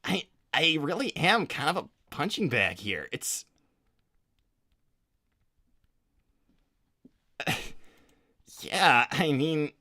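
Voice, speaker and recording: A man's voice: fairly high pitch, very animated, takes it slowly, pushed and bright, dry recording, exaggerated voice. A man voicing a flustered, insecure young cartoon hedgehog hero, stammering and hesitant, in an improvised roleplay. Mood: dejected, wavering. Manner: stammering, hesitant, self-deprecating. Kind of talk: improvised in-character livestream roleplay.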